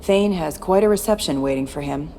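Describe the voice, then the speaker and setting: A woman's voice: sits low, fairly quick, warm tone, a little hiss or hum. A woman playing a tough, cynical former security chief in video game dialogue, blunt and guarded. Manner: blunt, matter-of-fact.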